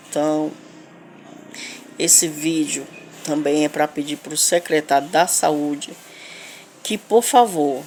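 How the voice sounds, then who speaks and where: A woman with a Northeastern Brazilian accent making an unscripted spoken complaint, halting and repetitive, with emphatic insistence. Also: low-pitched, darkish tone. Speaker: local resident making a video appeal. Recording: clear background noise, ambient sound.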